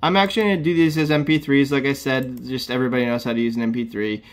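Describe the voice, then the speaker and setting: A man in his thirties hosting a casual step-by-step computer tutorial, talking through each click in a relaxed, plain way. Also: mid-range voice, quick pace. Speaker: tutorial host.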